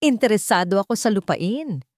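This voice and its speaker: A woman voicing an anxious businessman fiancé in a story, pleading with strained calm. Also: medium pitch, normal speed, no echo, studio quality, pitched above the performer's own voice, lightly acted. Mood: admitting, defensive.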